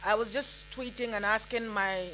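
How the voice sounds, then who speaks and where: A woman's voice: mid-range voice, steady tone, normal speed, pushed and bright, faint music behind, poor audio. A woman from Nigeria whose first language is Igbo, speaking earnestly from the floor at a conference, with frequent ums and restarts. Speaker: audience member. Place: conference hall.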